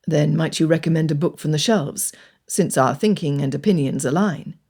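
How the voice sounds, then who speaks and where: A woman reading the lines of a reserved, proper gentleman in an audiobook, with a formal, measured delivery. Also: low-pitched, breathy edge, pitched below the performer's own voice. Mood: earnest. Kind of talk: audiobook character voice.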